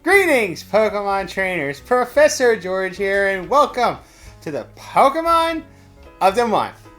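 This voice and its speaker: A performer playing an excitable Pokemon professor who hosts a segment in a theatrical, rambling way. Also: medium pitch. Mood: showy greeting. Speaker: segment host. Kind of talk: scripted comic character segment.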